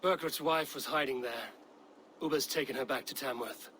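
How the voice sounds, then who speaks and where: An actor playing a stern young Viking warrior in a game cutscene, terse and blunt. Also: male voice, mid-range voice, normal speed, bright, forceful tone, slight background noise, natural voice. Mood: businesslike. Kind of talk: dramatic game cutscene acting.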